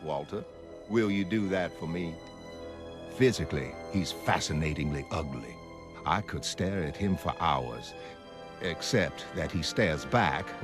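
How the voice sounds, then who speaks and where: A man playing an earnest, self-assured psychoanalyst in a motion comic, speaking in a measured, clinical way. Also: low-pitched. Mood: gently coaxing. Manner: measured, clinical, gently coaxing with patient. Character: earnest, self-assured male psychoanalyst dictating case notes. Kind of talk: scripted dramatic narration of a motion comic.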